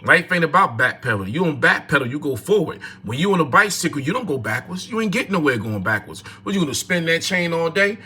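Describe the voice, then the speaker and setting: A man's voice: a little high, sing-song, quick pace, hardly any pauses, pushed and bright, breathy edge. A man, hosting a casual livestream, talking into his phone in a repetitive, preachy way and greeting chat viewers. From North New Jersey, USA. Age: 40s. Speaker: livestream host.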